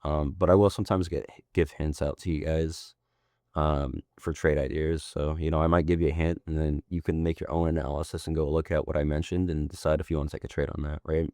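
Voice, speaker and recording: A man working as a trading mentor, hoarse from being sick, walking through a chart in a loose, rambling way with frequent tag questions. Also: very deep voice, flat delivery, a little fast, warm tone, dry recording, studio quality. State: hoarse, sick and losing his voice. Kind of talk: casual chart walkthrough.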